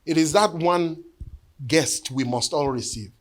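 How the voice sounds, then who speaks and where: A man's voice: a little high, unhurried. Earnest bishop in his fifties. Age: 50s. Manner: earnest storytelling, sinking to hushed tones. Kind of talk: sermon with personal testimony.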